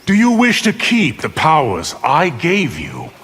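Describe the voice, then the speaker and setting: An actor voicing a cold, scheming, arrogant sorcerer in a video game, haughty and deliberate. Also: male voice, a little high, huge pitch swings, fairly bright tone, breathy edge, exaggerated voice. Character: cold, scheming, arrogant male sorcerer, power-hungry villain. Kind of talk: video game villain dialogue.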